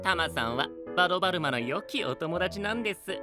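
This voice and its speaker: A man playing a gentle, childlike young knight with a soft, polite, doting manner in an anime audio drama. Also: sits high, lively intonation, fast talker, over music. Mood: fond.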